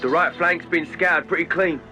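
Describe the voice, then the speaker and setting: A man's voice: a little high, steady tone, normal speed, pushed and bright, faint music behind. A man voicing a sneering, mocking soldier in a video game, with a taunting drawl. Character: sneering, mocking male soldier, casually cruel. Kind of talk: video game cutscene acting.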